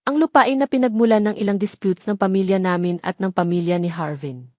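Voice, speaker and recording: An earnest, serious rich-family man, voiced by a woman in an audio drama. He explains things gravely. The sound is dry and close. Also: medium pitch, a little fast, no room sound, lightly acted. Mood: grave, intent.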